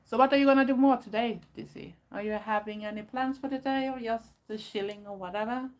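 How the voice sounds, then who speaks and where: A woman's voice: fairly high pitch, steady tone, a little fast, darkish tone. A woman from Sweden with a Swedish accent, chatting casually on a home live stream from her bedroom, rambling with fillers and restarts. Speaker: goth YouTuber. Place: bedroom at home, door closed.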